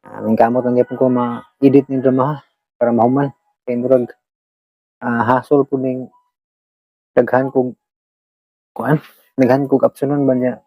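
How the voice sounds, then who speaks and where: A Filipino vlog host with a Visayan accent chatting casually to listeners, rambling in a halting, repetitive and apologetic way. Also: medium pitch, flat delivery, dry recording, highs cut off. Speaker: vlog host who shares radio dramas.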